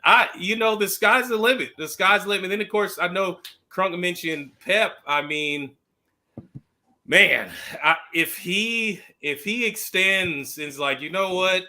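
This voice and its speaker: A man in a casual sports chat, talking as a fan panelist in an animated, slangy way with emphatic repetition. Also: sits high, unhurried. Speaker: football fan podcast panelist.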